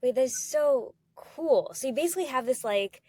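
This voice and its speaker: A woman's voice: sits high, lively intonation, normal speed, warm tone, no room sound, clean studio sound. A woman hosting an interview in a casual, conversational way, enthusiastic as she recaps and asks questions. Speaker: interview host.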